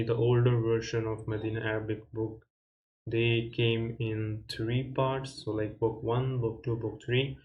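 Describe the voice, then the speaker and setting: A man teaching a beginner Arabic lesson, explaining slowly and reading each word aloud with many fillers and restarts. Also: medium pitch, darkish tone, poor audio. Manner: reads Arabic words aloud and repeats them. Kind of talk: casual step-by-step beginner lesson.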